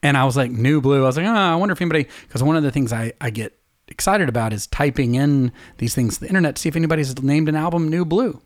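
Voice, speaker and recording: A middle-aged man talking casually as a podcast co-host, chatty and quick to laugh as he wanders into stories. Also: on the high side, dry recording, clean studio sound. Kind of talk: casual chat.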